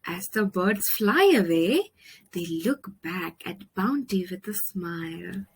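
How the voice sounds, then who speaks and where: Animated Indian woman storyteller, slow and expressive. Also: medium pitch, breathy edge. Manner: expressive, deliberate delivery with dramatic pauses. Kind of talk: children's picture book read-aloud.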